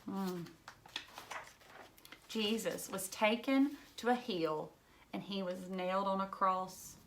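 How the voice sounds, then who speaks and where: A woman's voice: medium pitch, takes it slowly, a little hiss or hum. Woman reading a picture book to children. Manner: explains simply, addressing young listeners directly. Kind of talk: read-aloud storybook narration with chatty asides.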